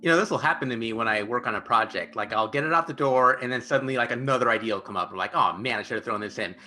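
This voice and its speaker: A man's voice: mid-range voice, racing, pushed and bright. A man working as an interviewer, asking questions in a casual, conversational way. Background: background music.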